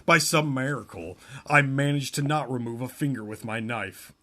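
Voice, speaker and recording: A man doing a mocking, deadpan reading of a romance novel, often breaking off into laughter and asides. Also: medium pitch, normal speed. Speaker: podcast co-host reading a novel aloud.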